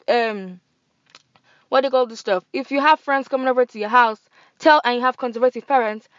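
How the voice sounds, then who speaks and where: A young woman with a Nigerian accent hosting a podcast in casual, animated chat. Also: a little high, no room sound, highs cut off. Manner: chatty, animated, laughs and switches into pidgin. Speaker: podcast host.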